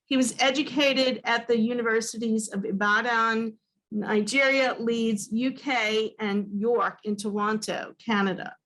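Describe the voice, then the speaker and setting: A woman's voice: a little high, steady tone, unhurried, a bit bright, low-quality recording. A woman hosting a reading series over a video call, introducing poets with frequent hesitant fillers. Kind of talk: introducing speakers.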